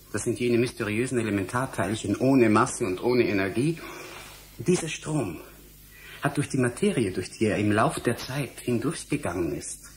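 An actor playing a young, irritable, self-absorbed scientist in a German radio drama, impatient and lecturing. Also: male voice, medium pitch, slight background noise, natural voice.

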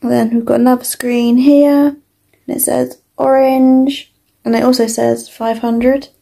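A young woman with a British accent giving a casual, informal toy review as an unhurried walkthrough. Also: a little high, little pitch movement, gravelly, mellow.

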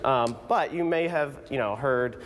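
A man's voice: medium pitch, unhurried. A man in his thirties giving a casual, explanatory science demonstration on live television. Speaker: science educator, guest demonstrator. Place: TV news studio. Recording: reverberant studio with faint noise.

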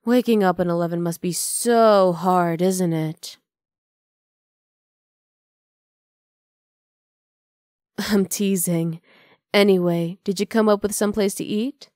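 A woman's voice: on the low side, steady tone, a little slow, a little mellow, no room sound, natural voice. Close, dry, teasing half-dragon girlfriend voiced by a woman. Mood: teasing, gentle. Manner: casual, coaxing, affectionate teasing. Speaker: ASMR roleplay voice actor. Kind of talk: ASMR roleplay acting.

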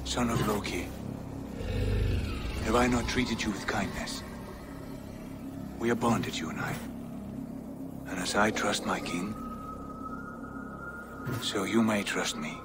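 An actor playing an earnest, compassionate warrior god in a video game, speaking formally. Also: male voice, on the low side, takes it slowly, long pauses, loud music behind the voice. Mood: solemn, reassuring. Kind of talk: video game cutscene acting.

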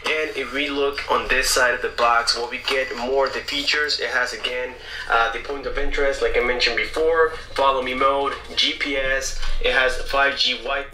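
A man's voice: mid-range voice, bright, forceful tone, a little hiss or hum. Man's voice from a tinny phone speaker. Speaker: tech reviewer. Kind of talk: product unboxing narration. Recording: played through a phone speaker, distant and echoey.

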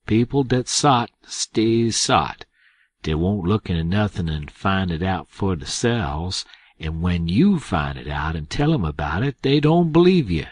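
A man's voice: low-pitched, big pitch swings, a little slow, slightly rough, no room sound, full character voice. A man voicing a frightened, superstitious older man servant, speaking in a fretful Southern drawl. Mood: fearful pleading.

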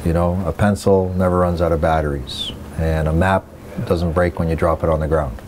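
Deep-voiced middle-aged flight instructor. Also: male voice, steady tone, normal speed, a little mellow, a little hiss or hum. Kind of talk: instructional conversation. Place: small office indoors.

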